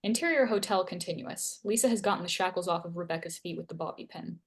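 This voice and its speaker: A woman's voice: fairly low pitch, fast. A woman reading scene headings and stage directions aloud as narrator at a screenplay table read.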